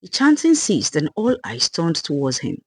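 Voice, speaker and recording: A storyteller giving a dramatic, expressive reading of a scripted folktale. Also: mid-range voice, normal speed, breathy edge.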